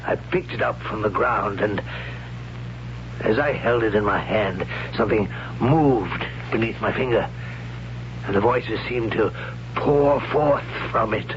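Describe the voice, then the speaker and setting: Man acting a slow, halting, awed primitive man. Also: fairly low pitch, close to an everyday voice. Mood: awed, reluctant. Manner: halting, solemn, hushed dread. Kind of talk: 1970s radio drama acting. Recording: dull, noisy 1970s radio recording.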